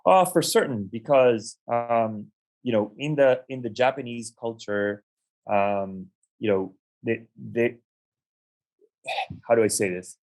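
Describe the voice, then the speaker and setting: A young adult man from the United States, an interview guest, telling his life story in a measured, reflective way with frequent ums and restarts. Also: medium pitch, darkish tone. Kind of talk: conversational storytelling.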